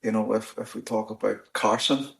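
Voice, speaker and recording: A man with a Northern Irish accent hosts an in-person podcast chat. His voice sits low and carries a gravelly rasp. He talks at a measured pace, often restarting and filling gaps with "you know". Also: fairly even intonation, a bit bright. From Northern Ireland. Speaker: podcast host. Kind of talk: casual discussion. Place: in-person recording on the Shankill, Belfast.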